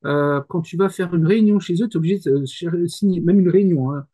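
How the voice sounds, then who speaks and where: A man explaining things as a panelist in casual chat, halting often to search for words. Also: sits high, warm tone. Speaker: panelist commenting on the industry.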